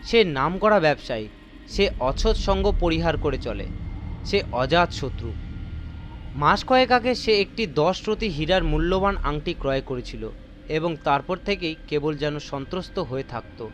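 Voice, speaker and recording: A man's voice: on the high side, animated, unhurried, slight background noise. A man narrating a mystery story in a theatrical, suspenseful, scripted style. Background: faint music.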